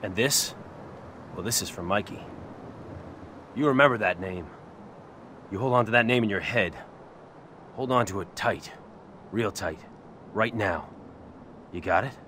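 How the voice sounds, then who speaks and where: Vengeful young biker hero for a game, male actor: lowish, terse, hard-edged, noisy background. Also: unhurried, long pauses, natural voice. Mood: cold fury. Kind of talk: video game cutscene acting.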